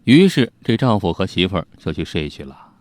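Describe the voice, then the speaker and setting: A man telling an old crime tale in an animated, conversational storytelling style, with spoken asides. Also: deep voice, a little fast, no echo, studio quality. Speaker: storyteller host.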